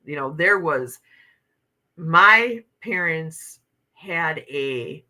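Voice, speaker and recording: Woman, 50s, livestream host, chatty, laughs a lot, reads comments aloud, bright tone. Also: sits low, lively intonation, drawn-out words. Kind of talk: casual livestream chat reading viewer comments.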